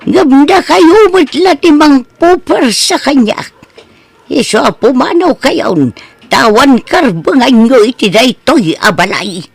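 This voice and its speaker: A stern, wronged old woman in an Ilocano radio drama. Her high voice creaks and swoops as she slowly delivers emphatic reproaches in an Ilocano accent, with music underneath. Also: expressive, no echo, full character voice. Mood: firm, reproachful. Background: background music.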